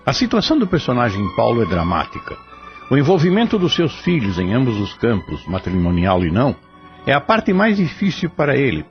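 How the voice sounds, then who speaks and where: A man giving reflective, preachy moral commentary after a drama episode. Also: medium pitch. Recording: dull lo-fi audio with faint music.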